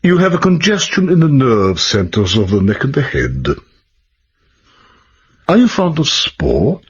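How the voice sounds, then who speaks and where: Very husky, swooping doctor. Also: male voice, medium pitch, huge pitch swings, muffled recording, exaggerated voice. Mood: coolly advising. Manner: measured, clinical, precise. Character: smooth-mannered, clinical Wimpole Street doctor, authoritative and sinister. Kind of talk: radio drama acting.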